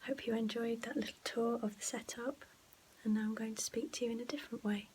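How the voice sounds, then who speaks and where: A soft-spoken, breathy woman giving casual behind-the-scenes vlog narration, often dropping into a whisper. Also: on the high side, steady tone, fast, a little hiss or hum. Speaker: ASMR vlogger and host.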